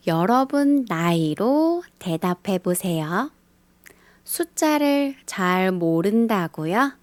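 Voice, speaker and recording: A woman teaching a beginner language lesson, speaking in a slow scripted style and carefully repeating the example phrases. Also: mid-range voice, no room sound. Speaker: Korean teacher.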